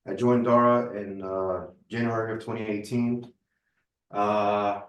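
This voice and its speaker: A man speaking casually, introducing himself and bantering with a group. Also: on the low side. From born in Venezuela. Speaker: real estate agent. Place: indoor group meeting room. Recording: echoey room, distant microphone.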